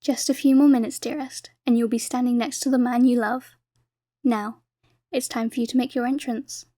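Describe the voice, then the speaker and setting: Woman playing a high-voiced, gracious queen. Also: fairly even intonation, moderate pace, warm tone, no echo, lightly acted. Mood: fond, encouraging. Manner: refined, formal, affectionate. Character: gracious, eager queen who loves hosting. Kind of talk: scripted romantic audio drama acting.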